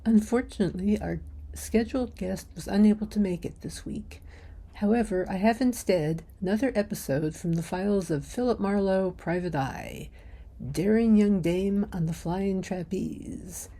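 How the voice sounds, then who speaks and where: A woman's voice: medium pitch, moderate pace, mellow. A woman hosting a crime fiction podcast, reading a friendly scripted introduction. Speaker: podcast host.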